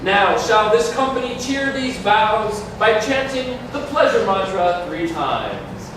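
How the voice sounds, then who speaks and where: Man as a high-voiced, grand wedding officiant, echoey hall. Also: very animated, takes it slowly, heavily acted. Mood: exalted. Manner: incantatory, solemn, proclaiming. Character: grand ceremonial male officiant of a mystical wedding. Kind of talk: staged reading of a poetic masque. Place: community hall, live staged reading. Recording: very echoey hall from far off, noisy.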